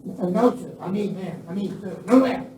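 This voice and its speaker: A woman's voice: medium pitch, normal speed, dark tone, close to an everyday voice. A woman playing a bumbling, flustered henchman in a Western radio play. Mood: flustered, defensive. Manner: stammering, flustered. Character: bumbling, nervous henchman outlaw, flustered by the boss. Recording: echoey hall, distant mic, faint noise.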